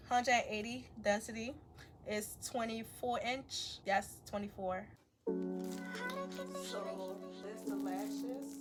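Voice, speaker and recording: A woman's voice: medium pitch, vocal fry. A woman from Liberia, chatting casually through a wig unboxing tutorial and calling her viewers sis and y'all. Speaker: beauty vlogger.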